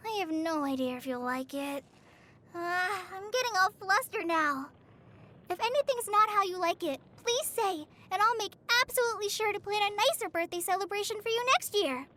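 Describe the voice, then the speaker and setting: A woman's voice: very high-pitched, lively intonation, moderate pace, pushed and bright, exaggerated voice. An actress playing a shy, earnest teenage girl forest ranger trainee in a video game, hesitant and easily flustered. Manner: hesitant, stammering, apologetic. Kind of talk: video game character voice lines. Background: background music.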